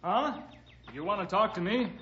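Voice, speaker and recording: Man playing a wary, grudging workman, highish voice. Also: a little hiss or hum, natural voice. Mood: hesitant. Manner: folksy ungrammatical speech, halting, wary. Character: grudging, defensive working-class male builder. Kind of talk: old-time radio western acting. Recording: dull vintage radio recording.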